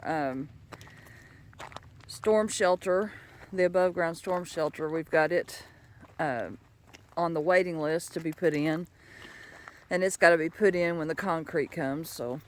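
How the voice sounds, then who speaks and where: An older woman, getting over a bronchial illness, chatting casually and haltingly in a folksy, unhurried way as a vlog co-host outdoors. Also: fairly low pitch, a little hiss or hum. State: recovering from a bronchial illness, voice slightly low. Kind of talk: casual chat update. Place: outdoors on a hilltop.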